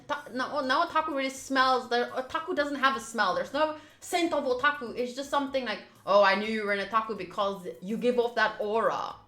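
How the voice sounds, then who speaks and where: Woman game streamer, bright voice, casual commentary, reads dialogue aloud, roomy echo, game music behind. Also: a little high, brisk, hardly any pauses. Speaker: streamer playing a visual novel. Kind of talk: casual playthrough commentary, reading lines aloud. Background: faint game music.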